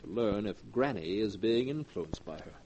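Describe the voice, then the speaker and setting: An actor playing a suave, calculating grandson and two-timing lover in 1940s radio drama style. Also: male voice, fairly low pitch, animated, normal speed, a little mellow, slightly rough, highs cut off. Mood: smooth, placating. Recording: noisy old 1940s radio recording.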